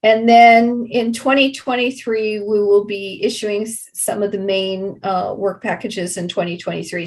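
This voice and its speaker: A woman explaining things in an interview as a project representative, in a deliberate and measured manner. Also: fairly high pitch, rough recording.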